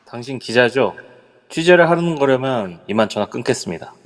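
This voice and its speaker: An actor playing a nervous, guarded male executive who takes a call, in an audio drama, with a high, strained voice. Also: lively intonation, a little fast, long reverb tail, close to an everyday voice. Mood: wary. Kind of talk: amateur audio drama acting.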